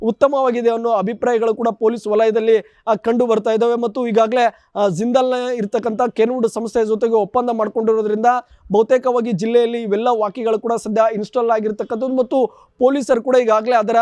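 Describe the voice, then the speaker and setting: Very high-pitched male news reporter. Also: level tone, brisk, a little mellow, no echo, muffled recording. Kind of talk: unscripted TV news field report.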